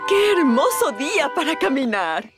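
A woman's voice: high-pitched, big pitch swings, moderate pace. A woman with a Latin American Spanish accent voicing a caring, practical nurse in a children's cartoon dub. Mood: upbeat. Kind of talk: animated children's series dub. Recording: loud music behind.

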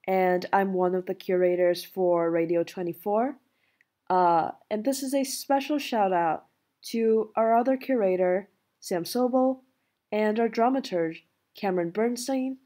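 A woman's voice: medium pitch, mellow. A young adult woman hosting and casually announcing credits for a show. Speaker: show curator and host.

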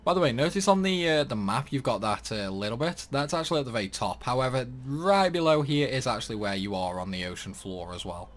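A man's voice: medium pitch, animated, brisk, hardly any pauses, a little hiss or hum. A young man giving rambling, casual commentary over a game playthrough. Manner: chatty, rambling, lots of likes and uhs. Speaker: gaming youtuber.

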